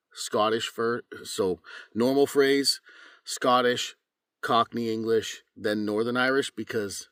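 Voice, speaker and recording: A man's voice: low-pitched, a bit bright, dry recording, studio quality. A male voice actor talking casually while practicing accents, rambling and self-critical, with the odd casual swear.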